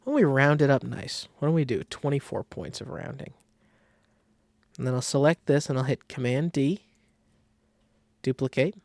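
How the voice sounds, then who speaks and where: A man giving a casual software tutorial, talking informally and thinking aloud as he works, with a creaky, gravelly voice. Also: medium pitch, normal speed, pauses often, no echo. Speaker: design software tutorial host. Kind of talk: casual screen-recorded tutorial.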